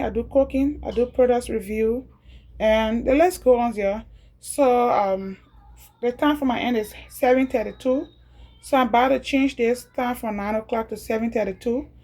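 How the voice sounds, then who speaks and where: A woman's voice: fairly high pitch, dark tone. Liberian woman walking through appliance steps. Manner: chatty, repeats steps slowly. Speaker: home video creator. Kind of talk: casual step-by-step tutorial.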